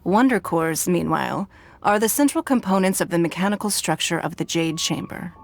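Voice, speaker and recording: A woman's voice: fairly low pitch, animated, normal speed, vocal fry, dry recording. A formal, authoritative noblewoman. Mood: commanding. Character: poised wealthy female ruler, businesslike. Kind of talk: game voice acting. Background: game music.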